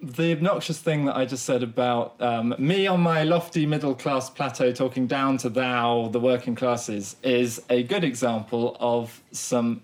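A man's voice: medium pitch, steady tone, a little slow, few pauses. Playful Southern English man, podcast co-host. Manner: playful, mock-pompous, rambling with restarts. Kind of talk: casual podcast chat.

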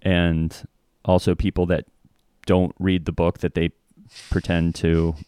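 A man's voice: sits low, little pitch movement, measured pace, dark tone, clear, resonant tone, no echo. A man talking casually and reflectively as a guest in an interview, often acting out bits of remembered dialogue. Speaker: podcast guest, improv comedian. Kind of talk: casual conversational interview talk.

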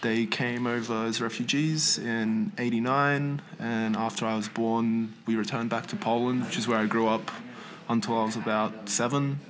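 A young man from Australia, a guest artist chatting casually and rambling with many fillers in a noisy library. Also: mid-range voice. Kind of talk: casual interview chat. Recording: faint background noise in a library.

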